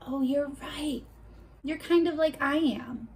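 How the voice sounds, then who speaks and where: A young woman leading a read-aloud lesson for children, talking to the camera in an animated, encouraging, child-directed way. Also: sits high, slow pace. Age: young adult. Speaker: children's teacher. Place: bedroom at home, indoors.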